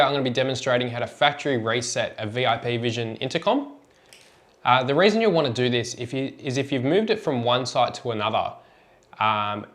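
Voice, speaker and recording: A man with a mid-pitched voice presents a practical how-to demonstration. He explains things step by step in plain, informal language, as a product tutorial presenter would. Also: fairly bright tone.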